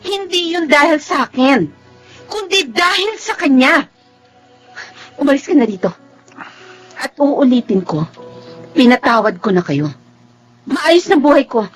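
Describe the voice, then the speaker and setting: High-voiced, emotional Filipino single mother. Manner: emotional, emphatic delivery. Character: hurt, resolute single mother, proud and emotional. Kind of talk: radio drama acting.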